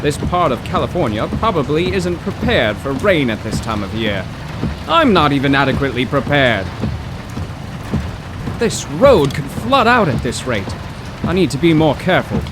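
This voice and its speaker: An actor playing an excitable, bookish professor in a 1930s radio drama, theatrical and wordy with old-fashioned exclamations. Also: male voice, fairly high pitch, moderate pace. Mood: exasperated. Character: excitable, bookish 1930s male poetry professor, stranded motorist. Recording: rain and road noise.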